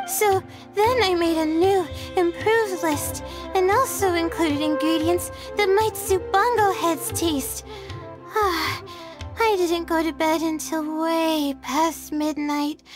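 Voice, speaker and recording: A woman voicing a sleepy, gentle young student in a video game, with a hesitant manner. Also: extremely high pitch. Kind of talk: video game character acting. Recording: music playing behind the voice.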